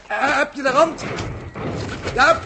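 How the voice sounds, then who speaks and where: High-voiced, precise Dutch space traveller. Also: male voice, measured pace, music in the background. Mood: urgent. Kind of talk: 1960s radio drama acting. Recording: noisy old radio recording.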